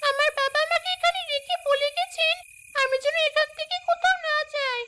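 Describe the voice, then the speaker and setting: A woman's voice: very high-pitched, a little fast. A woman voicing a snake disguised as a thirsty, innocent little girl in a cartoon. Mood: innocent pleading. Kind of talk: dubbed cartoon dialogue.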